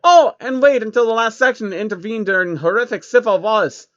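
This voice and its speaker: A man's voice: sits high, fairly quick, fairly bright tone, no echo, lo-fi sound, voice pushed up. Guy voicing a blunt suit guy explaining stuff, slightly raised voice. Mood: matter-of-fact.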